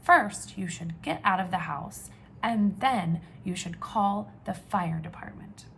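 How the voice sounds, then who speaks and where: A woman teacher reading aloud to young children, with expressive questions and drawn-out emphasis. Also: lowish voice, creaky, a little hiss or hum. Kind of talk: children's read-aloud lesson.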